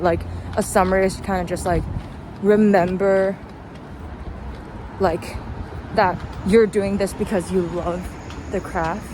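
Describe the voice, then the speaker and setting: A young woman talking casually and reflectively in a rambling vlog, recorded outdoors while walking. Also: on the low side. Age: young adult. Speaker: vlogger. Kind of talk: casual chatty vlog monologue. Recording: noisy outdoor street with traffic.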